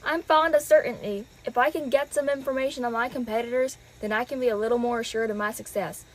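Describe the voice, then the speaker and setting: A woman playing a smug, self-assured investor, a scheming man with measured delivery, in an old-time detective radio drama. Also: on the high side, fairly even intonation, lightly acted, rough recording.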